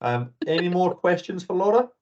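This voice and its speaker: A man's voice: sits high, lively intonation, moderate pace, a little mellow, slight background noise. A man casually hosting an online meetup, chatting over a video call. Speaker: meetup host. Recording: dull online call audio.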